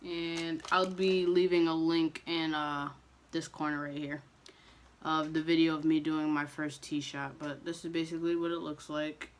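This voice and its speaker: A young man chatting casually to camera as a vlogger, relaxed and loose, with frequent ums and restarts. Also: fairly high pitch, moderate pace, slight background noise.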